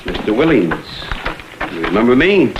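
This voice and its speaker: A man's voice: lowish voice, sing-song, moderate pace, heavy background noise. A man playing a cool, confident photographer-sleuth in a vintage crime drama, acting and narrating. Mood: cool, pointed. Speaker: lead actor and narrator. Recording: dull vintage soundtrack, loud music behind.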